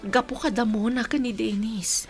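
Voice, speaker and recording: A woman's voice: fairly high pitch, fairly even intonation, normal speed, over music, natural voice. A woman with an Ilocano accent playing a worried, wronged middle-aged mother and wife in an emotional radio drama. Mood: bitter, hurt.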